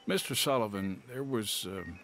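An actor playing a sympathetic, sombre doctor in a radio drama, gentle and hesitant. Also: male voice, fairly low pitch, expressive, normal speed, a little hiss or hum. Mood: grave, sorry.

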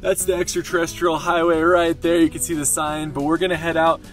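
A man's voice: fairly high pitch, little pitch movement, a little fast, faint music behind. A man in his thirties talking casually to a camera he holds at arm's length, chatty and upbeat as he walks around outdoors. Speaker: travel vlogger on camera. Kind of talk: casual on-the-spot vlog talk. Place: outdoors on cold mining site and hotel interior. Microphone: camera held at arm's length.

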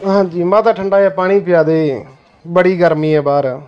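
A man's voice: sits high, normal speed, clear, resonant tone, muffled recording, lightly acted. A man playing a spoiled young adult son who whines and pleads with his mother for things. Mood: whiny.

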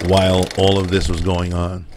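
Male narrator, grave, deliberate phrasing, dramatic scripted documentary, background noise. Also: low voice, monotone.

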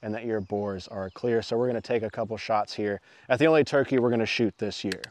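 A man's voice: on the low side, fairly quick, darkish tone, slight background noise. A man in his thirties giving an informal, self-effacing how-to demonstration outdoors, speaking into a clip-on mic. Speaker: muzzleloading channel host. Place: outdoors in woods. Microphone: clip-on lapel mic.

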